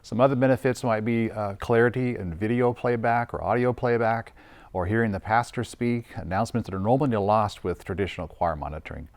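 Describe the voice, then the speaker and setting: A man's voice: lowish voice, fairly quick. A man hosting a scripted promotional interview, presenting as an audio and video designer.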